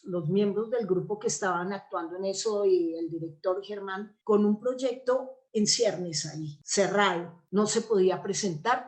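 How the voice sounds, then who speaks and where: A woman's voice: medium pitch, darkish tone. Woman explaining in an interview. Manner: explanatory, discursive. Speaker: interview guest, theatre actress and playwright. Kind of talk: conversational interview answers.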